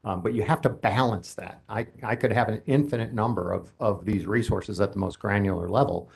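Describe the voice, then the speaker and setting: A man with a low, gravelly voice gives expert testimony at a formal hearing. He explains technical points at length in a steady, matter-of-fact way, walking through each point with care. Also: a little fast. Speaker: utility company expert witness.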